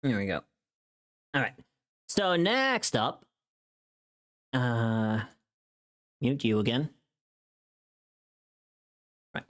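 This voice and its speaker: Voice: medium pitch, sing-song, extremely slow, pauses often, a bit bright. A streamer chatting casually and thinking aloud in a rambling way while working through tasks on a livestream. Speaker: streamer recording a voice pack.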